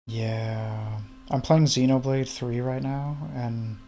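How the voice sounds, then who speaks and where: A man's voice: mid-range voice, fairly even intonation, slow, dark tone, a little hiss or hum. A man of about thirty chatting casually, hesitant, often trailing off with fillers. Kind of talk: casual chat.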